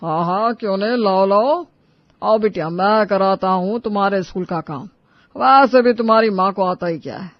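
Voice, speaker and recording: Man doing a high-pitched grumbling old father-in-law. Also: normal speed, no room sound. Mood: taunting. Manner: grumbling, demanding. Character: old-fashioned, taunting elderly father-in-law. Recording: dull lo-fi audio.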